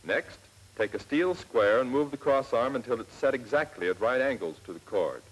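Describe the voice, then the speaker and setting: Husky American man, 1940s training film narrator. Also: medium pitch, expressive, rough recording. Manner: clear step-by-step instructing, measured and plain. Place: scripted voice-over for film. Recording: old 1940s film soundtrack, slightly rough.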